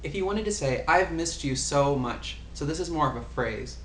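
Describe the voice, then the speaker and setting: A man's voice: fairly high pitch, lively intonation, moderate pace. A man giving a casual language lesson, patiently explaining and repeating example phrases. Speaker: language tutor. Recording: echoey room, faint ambient noise.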